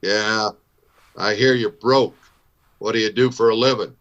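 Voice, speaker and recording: A man's voice: fairly low pitch, fairly even intonation, a little slow, a bit bright, highs cut off. A man playing a stern, plain-spoken Old West town marshal in a radio Western. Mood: calm, questioning. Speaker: voice actor in radio show recreation.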